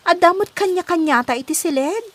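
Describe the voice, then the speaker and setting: A woman's voice: very high voice, lively intonation, a little fast. A woman playing a practical, firm young city worker in a comedy drama. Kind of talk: amateur Ilocano comedy drama acting.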